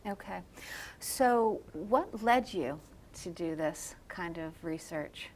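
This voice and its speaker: A woman with a slightly low-pitched voice hosts an interview. She listens attentively, responds briefly and puts her questions in a conversational way. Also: expressive, slow pace, darkish tone, a little hiss or hum. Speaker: interviewer, host. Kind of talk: studio-style interview questions.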